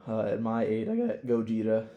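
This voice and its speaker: A man's voice: fairly low pitch, big pitch swings, moderate pace, dull audio. A man chatting casually as a podcast co-host, with an emphatic, slangy, sweary way of talking. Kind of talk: casual chat ranking favourites. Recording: echoey room.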